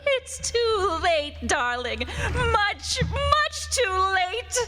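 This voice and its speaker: An actress playing a wicked, vengeful dying wife who taunts slowly in old-time radio drama style. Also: female voice, very high-pitched, animated, loud music behind the voice, full character voice. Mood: gloating. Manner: slow, taunting, drawn-out.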